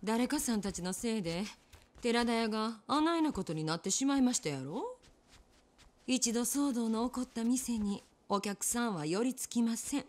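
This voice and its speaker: An actress playing a poised, determined innkeeper with a Kyoto accent in a period game drama, genteel, polite and deliberate. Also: female voice, fairly high pitch, animated, close to an everyday voice. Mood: composed.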